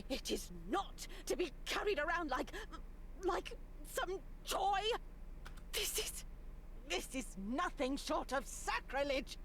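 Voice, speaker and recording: An actress playing a stern, sanctimonious nun in a visual novel, haughty, pious and reproachful. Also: female voice, sits high, animated, takes it slowly, a bit bright, exaggerated voice. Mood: outraged. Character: stern, sanctimonious nun, scolding her young charges. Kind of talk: dramatic visual novel voice acting.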